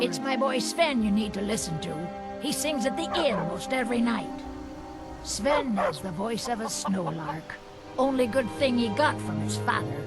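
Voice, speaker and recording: Grumbly old village mom from a fantasy game, indignant and fretful, music really loud. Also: female voice, medium pitch. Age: older. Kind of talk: fantasy video game acting.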